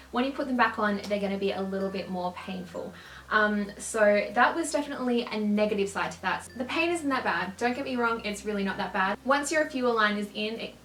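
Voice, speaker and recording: A young woman vlogging in a casual, upbeat way, with a slight lisp and a quick laugh. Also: medium pitch, fairly bright tone, slight background noise. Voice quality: slight lisp from clear aligners. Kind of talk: casual chat to camera. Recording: roomy echo.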